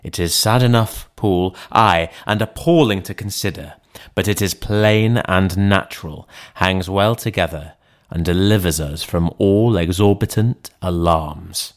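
Man voicing a deep-voiced, sober gentleman lawyer. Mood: reasoning, reassuring. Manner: measured, formal, reasoning. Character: sober, rational, formal middle-aged gentleman lawyer. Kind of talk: dramatized audiobook reading.

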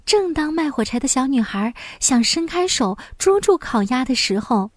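A woman storyteller reading a scripted fairy tale for young children in an expressive manner. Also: high voice, moderate pace, no room sound, clean studio sound.

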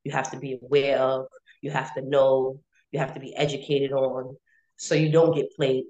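A woman's voice: extremely low pitch, level tone, normal speed, a little mellow, dull audio. A woman in her late twenties telling her own story casually and candidly, repeating phrases for emphasis. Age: 20s.

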